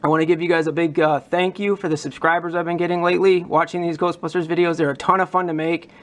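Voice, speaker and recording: Higher-pitched man, casual hobby vlogger. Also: flat delivery, fast. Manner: informal, enthusiastic. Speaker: prop modder presenting a demonstration. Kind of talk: casual explanatory hobby vlog.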